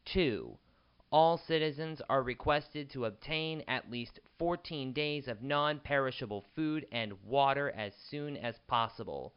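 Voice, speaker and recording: A man playing a grave, formal government spokesman giving a measured emergency address in a radio drama. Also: medium pitch, clear, resonant tone, dull audio. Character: solemn male government spokesman addressing a nation in crisis.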